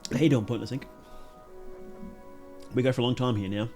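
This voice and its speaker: A male livestreamer chats his way through a reaction stream from home in a voice of middling pitch. He rambles and restarts his thoughts, and he pokes fun at himself. He laughs easily at his own jokes. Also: steady tone, brisk, dark tone, soft background music. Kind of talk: casual live-stream commentary.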